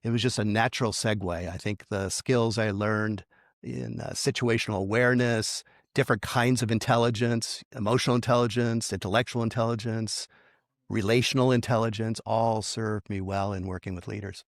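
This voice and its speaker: A middle-aged man, a leadership coach, speaking thoughtfully in an interview, measured and reflective with frequent fillers and light laughs. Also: on the low side, fairly even intonation, no echo, studio quality. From United States.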